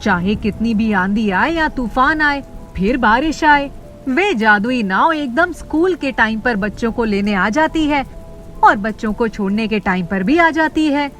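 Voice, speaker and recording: A woman's voice: fairly high pitch, lively intonation, measured pace, a bit bright, faint music behind. A woman reading a scripted children's story as its narrator.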